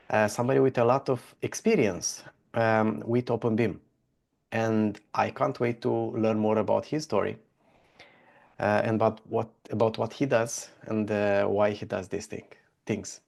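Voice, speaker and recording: Male interviewer, Romanian accent, casual and friendly, phrases come out haltingly. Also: on the low side, steady tone, gravelly, darkish tone. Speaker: podcast host.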